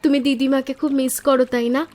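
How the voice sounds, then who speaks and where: High-voiced sweet young niece played by a woman. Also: little pitch movement, brisk, darkish tone, natural voice. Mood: sentimental. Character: sweet, eager, sentimental young niece, village girl. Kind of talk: animated story dialogue.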